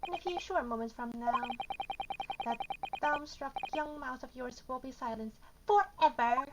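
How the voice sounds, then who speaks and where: A woman's voice: sits high, lively intonation, moderate pace, heavy background noise. A woman streaming a video game from her bedroom, reading the dialogue aloud and reacting informally as if talking to herself. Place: bedroom at home. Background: loud game music.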